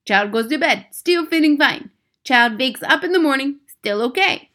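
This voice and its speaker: A woman's voice: high-pitched, animated, moderate pace, a bit bright, pitched above the performer's own voice. A woman voicing a wicked, bloodthirsty old witch leader in a storybook, commanding and triumphant, speaking in broken, foreign-sounding grammar. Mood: gloating, triumphant.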